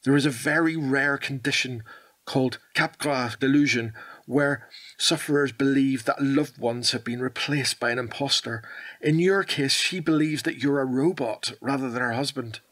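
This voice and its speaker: A man playing a calm, measured hospital doctor in a radio drama, professional, reassuring and deliberate. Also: medium pitch, close to an everyday voice. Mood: composed, serious.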